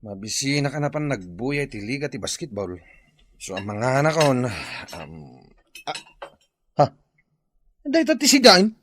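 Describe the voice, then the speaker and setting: A man's voice: mid-range voice, wildly swooping pitch, measured pace, pauses often, a bit bright, a little hiss or hum. A man with an Ilocano accent playing a lazy, self-pitying husband in a radio drama. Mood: grumbling. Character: lazy, whining, self-pitying husband who shirks work. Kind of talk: Filipino radio drama acting.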